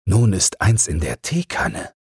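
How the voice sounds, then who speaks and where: A man voicing a friendly old man who tells fairy tales, with a kindly, musing, unhurried manner. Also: deep voice, big pitch swings, creaky, a little mellow, husky, no echo, clean studio sound, heavily acted.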